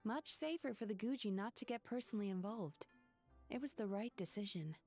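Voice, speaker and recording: An actress voicing a proud, composed businesswoman in a game, speaking in a dry, measured, matter-of-fact way. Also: medium pitch, expressive, mellow, soft background music.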